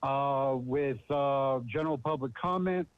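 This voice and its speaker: A man's voice: mid-range voice, measured pace, a little hiss or hum. A man calling in to make a blunt public comment, repeating his slogan with many fillers. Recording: muffled phone line.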